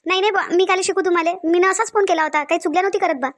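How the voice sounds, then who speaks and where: A woman plays a timid young cartoon daughter-in-law who pleads her case in a very high voice with a Varhadi Marathi accent. Her apologetic words come out in a rapid rush. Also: steady tone. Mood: pleading. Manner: pleading, defensive.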